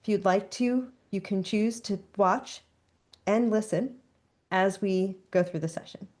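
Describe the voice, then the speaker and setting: Woman, webinar moderator, mid pitch, reading from a script, video call. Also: measured pace, a little mellow. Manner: reads from a script. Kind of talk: reading announcements and introduction.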